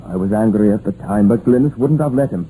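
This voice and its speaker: Actor as dark-voiced, stubborn young Welsh miner. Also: male voice, fairly low pitch, fairly quick, close to an everyday voice. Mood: defensive, bitter. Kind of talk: 1950s radio drama acting. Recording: noisy, muffled vintage radio recording.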